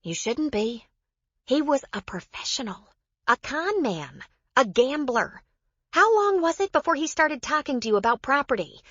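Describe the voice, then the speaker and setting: A woman's voice: high-pitched, very animated, moderate pace, fairly bright tone, no echo, lo-fi sound, pitched above the performer's own voice. A woman narrator voicing a frank, consoling mother in an audiobook, earnest and pressing. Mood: reassuring.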